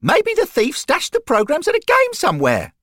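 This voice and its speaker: A man voicing an eager, pedantic ghost schoolboy with a high treble voice who never stops reciting facts. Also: huge pitch swings, moderate pace, bright, forceful tone, dry recording, studio quality, heavily acted. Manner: fact-reciting, polite, keeps saying sir. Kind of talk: audiobook character voice.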